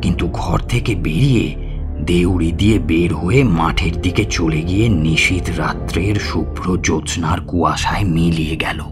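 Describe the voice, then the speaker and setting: Village doctor storyteller, played by a man: very low voice, polite and earnest, horror audio drama, heavy music bed. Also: moderate pace, natural voice. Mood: narrating, astonished. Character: village physician recounting his ghost encounter, respectful, earnest. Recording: music behind the voice.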